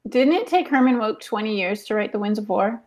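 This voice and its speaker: A woman's voice: medium pitch, expressive, a little fast, darkish tone. A woman chatting casually on a podcast panel in a teasing, playful way. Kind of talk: casual chat.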